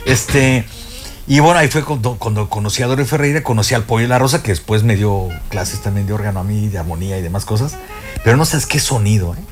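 A man's voice: lowish voice, fast talker. An older man with a Mexican accent, chatting as a music expert on a radio show, telling stories with many fillers and restarts. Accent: Mexican Spanish. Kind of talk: casual radio chat. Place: radio studio.

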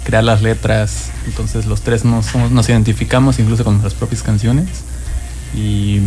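A man, a band member answering in a measured, reflective way. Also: fairly low pitch, flat delivery, dark tone, faint music behind. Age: 20s. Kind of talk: interview answers.

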